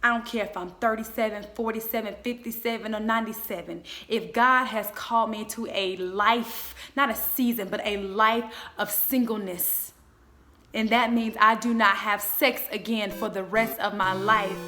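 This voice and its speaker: A woman in her twenties giving a motivational faith talk, speaking in an emphatic, exhorting way with deliberate repetition. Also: medium pitch, steady tone, bright, forceful tone. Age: 20s.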